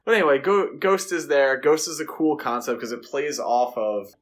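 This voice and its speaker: Casual male podcast co-host, halting delivery. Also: on the high side. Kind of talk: casual film discussion.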